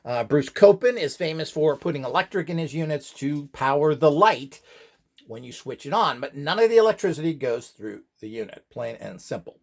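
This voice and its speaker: A man's voice: a little high, lively intonation, moderate pace, vocal fry. A man giving an informal, unscripted instructional talk, rambling as he explains and posing questions to himself.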